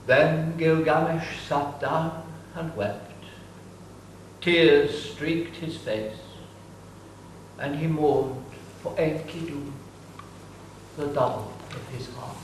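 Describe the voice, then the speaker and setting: A man narrating a staged verse drama in slow, measured tones. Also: fairly high pitch, steady tone. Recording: very echoey hall from far off, faint noise.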